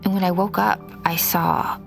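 A woman's voice: fairly low pitch, level tone, normal speed, creaky. A woman playing a troubled mother, hesitantly recounting a haunting memory in an audio drama. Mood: haunted, reflective. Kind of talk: confessional first-person narration in audio fiction. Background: music.

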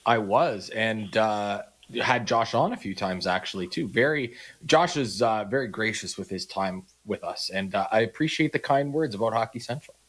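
A man's voice: lowish voice, animated, normal speed, few pauses, a bit bright. A man co-hosting a sports radio show, chatting casually and reading out listener texts on a studio mic. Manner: conversational, reads listener texts.

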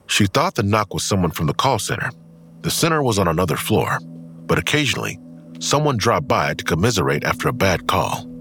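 A man's voice: low-pitched, sing-song, brisk, background noise. A man narrating a scripted audio drama in a storytelling style. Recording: music clearly underneath.

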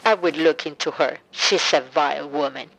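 A woman's voice: sits low, normal speed, gravelly, fairly bright tone, exaggerated voice, low-quality recording. Blunt, grieving mother on the phone. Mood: bitter, accusing. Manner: terse, blunt, suspicious. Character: grieving, bitter mother of an adult son. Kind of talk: full-cast audio drama acting.

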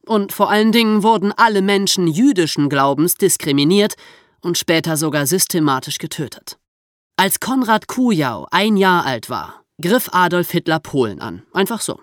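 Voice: medium pitch, no room sound, clean studio sound. A podcast host narrating from a script in an explanatory storytelling way, with conversational asides.

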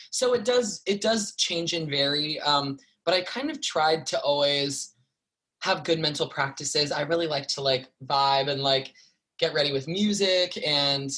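A man's voice: on the high side, pushed and bright. A young man in his twenties, a stage performer talking casually as an interview guest, chatty with many fillers. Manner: chatty, lots of likes and fillers.

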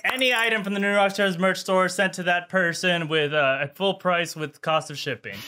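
A man hosts a loose, joking panel show and rattles off plugs between laughs. His voice sits high and sounds bright and sharp. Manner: playful, laughing, quick plugs. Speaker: show host. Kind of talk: casual group chat, hosting.

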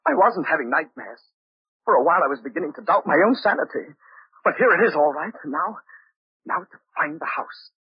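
An American actor playing a troubled, obsessive husband slipping into paranoia, abrupt and intense, in an old-time radio thriller. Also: male voice, a little high, lively intonation, fairly quick, breathy edge, no room sound. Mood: obsessive relief. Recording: muffled, noisy vintage radio recording.

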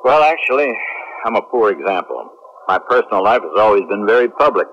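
A man's voice: lowish voice, very animated, a little fast. A man acting a confident, strict military spaceship captain in a 1970s radio drama, commanding and self-assured. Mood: reflective, wry. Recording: muffled 1970s radio drama recording, faint noise.

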